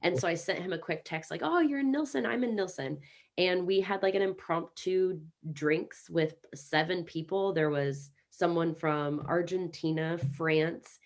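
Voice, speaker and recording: An American woman in her thirties, chatting as a guest on an interview over a video call, upbeat and talkative with quick restarts. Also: fairly low pitch. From Kansas City, United States. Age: 30s. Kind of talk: casual interview chat.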